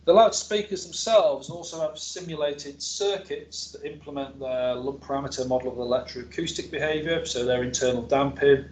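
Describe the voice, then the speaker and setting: A man's voice: medium pitch, moderate pace, few pauses, a little mellow, slight background noise, low-quality recording. A male academic researcher presenting a technical seminar in an informal, explanatory way, with hesitations and self-corrections. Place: seminar room with an audience present.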